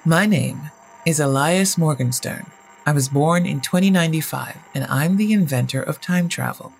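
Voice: mid-range voice, moderate pace, creaky, faint music behind, close to an everyday voice. A storyteller voicing a guarded, fanatically determined male inventor who explains himself in a formal, grave manner in an audio story.

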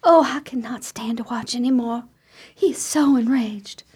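A woman's voice: a little high, normal speed, darkish tone, breathy edge. A woman playing a timid, easily frightened, grieving sister in a radio chiller, with a fearful, pleading, emotional delivery. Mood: fearful, horrified. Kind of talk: theatrical radio play acting.

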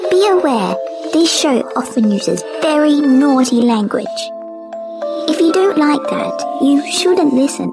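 A woman voicing a playful, eerie little girl who hosts a story podcast with deliberate, storybook narration. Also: high voice, animated, over music. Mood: impish warning. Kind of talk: scripted podcast intro narration.

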